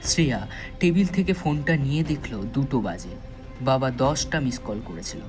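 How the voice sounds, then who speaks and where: A man's voice: mid-range voice, animated, normal speed. A man narrating a scripted thriller story in a dramatic, suspenseful storytelling manner. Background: background music.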